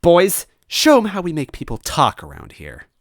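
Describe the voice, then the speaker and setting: A man acting out a smug storybook villain in toad disguise, pitched up high and loud. He is boastful and theatrical, relishing each threat with gloating menace. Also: wildly swooping pitch, moderate pace, no echo, clean studio sound. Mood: menacing. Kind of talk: acted storybook villain dialogue.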